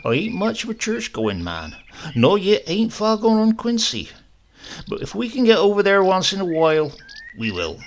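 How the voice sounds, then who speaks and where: A man's voice: high-pitched, huge pitch swings, normal speed, a little hiss or hum, voice pushed up, full character voice. A man voicing a sly old countryman uncle, drawling and earthy, with a mock-meek air.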